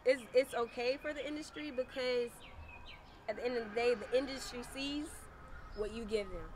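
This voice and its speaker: A young woman rapper in her twenties, talking casually and soft-spoken with laid-back slang, interviewed outdoors beside a parked car. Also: a little high, normal speed. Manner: soft-spoken, laid-back slang, frequent "you know what I'm saying". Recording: outdoor street noise.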